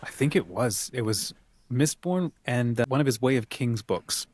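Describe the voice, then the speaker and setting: A man's voice: medium pitch, expressive, moderate pace, darkish tone, husky, no room sound. A man co-hosting an enthusiastic, casual chat about books, emphatic and full of superlatives. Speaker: co-host.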